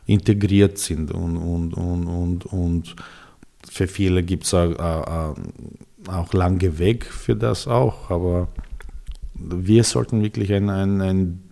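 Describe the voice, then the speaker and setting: A man's voice: deep voice, fairly even intonation, slow pace, gravelly, mellow. A middle-aged man with a Serbian accent, Serbian his first language, telling his story in a casual interview with many hesitations and restarts.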